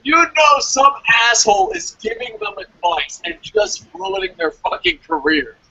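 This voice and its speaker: A man's voice: high-pitched, big pitch swings, a little slow, bright, forceful tone, lo-fi sound. A man co-hosting a wrestling review show in casual, opinionated chat, speaking bluntly and with plenty of swearing. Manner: profane, blunt.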